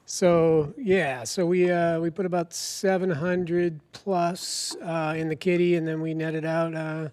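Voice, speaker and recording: A man's voice: on the high side, flat delivery, takes it slowly, hardly any pauses, darkish tone, no echo. A man guesting on a podcast, talking loosely and a bit boastfully about his sports bets over a remote line. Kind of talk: casual podcast chat.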